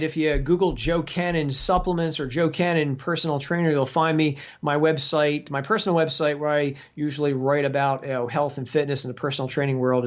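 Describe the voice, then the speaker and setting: A man's voice: mid-range voice, a bit bright. A man, a guest exercise physiologist, explaining at length in casual chat with many fillers and restarts. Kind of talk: casual interview over a call. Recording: dull lo-fi sound.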